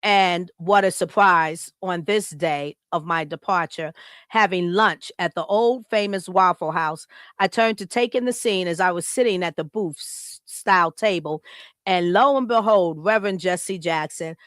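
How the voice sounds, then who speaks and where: A woman from Chicago co-hosts a live podcast in a smooth, clear voice, recorded close and dry with no room sound. She talks in a casual, heartfelt way and moves easily into reading her own written words aloud. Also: fairly low pitch, a little slow, fairly bright tone, dry recording. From Chicago, United States. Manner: heartfelt, reads a written tribute aloud, laughs often. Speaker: podcast co-host.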